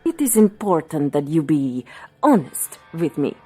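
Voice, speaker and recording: Radio drama palm reader, female: theatrical, commanding, swooping pitch, unhurried dramatic pauses. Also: sing-song, husky, heavily acted. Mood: insistent. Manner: dramatic, drawn-out pauses, hushed ominous passages, formal phrasing. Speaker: fortune teller. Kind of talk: audio drama acting. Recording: music under the voice.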